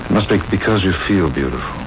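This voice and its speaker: An actor playing an earnest, troubled husband in a 1970s radio drama, speaking with some hesitation. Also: male voice, deep voice, animated, quick pace. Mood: earnest, tender. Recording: muffled, noisy old radio recording.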